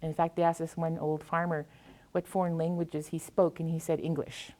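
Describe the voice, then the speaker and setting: A woman's voice: sits low, level tone, fairly quick, crowd noise, rough recording. An American woman, a poet, speaking reflectively as she tells stories and reads her work to an audience. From US Pacific Northwest. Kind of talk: anecdotal talk and poetry reading to an audience.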